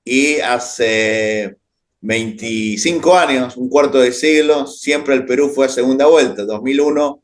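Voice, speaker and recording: A man's voice: mid-range voice, takes it slowly. A man hosting a political interview on his own channel, asking direct questions. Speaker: interviewer, YouTube channel host.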